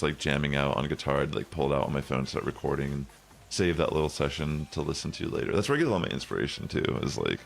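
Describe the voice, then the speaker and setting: A young man with a very low, bassy voice chatting loosely as a streamer, rambling with lots of fillers. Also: gravelly. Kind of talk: casual chat while gaming. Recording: faint music behind.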